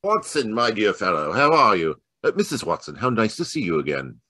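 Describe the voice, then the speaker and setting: Man playing genial gentleman detective, lowish pitch, swooping intonation, courtly wording, old-time radio mystery style. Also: big pitch swings, brisk, slightly rough, dry recording, studio quality, exaggerated voice. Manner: courtly, wordy, hesitant phrasing.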